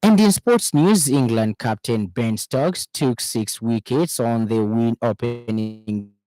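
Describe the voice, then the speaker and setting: Male radio newsreader, Zambian accent, lower register, formal scripted delivery, dry studio sound. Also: dark tone, no room sound, rough recording. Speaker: radio news reader. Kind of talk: scripted news bulletin reading.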